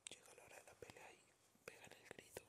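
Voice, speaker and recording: A woman with a Colombian accent giving casual, slangy, playful ASMR commentary on a video game in a whisper. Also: a little fast. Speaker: gaming video host.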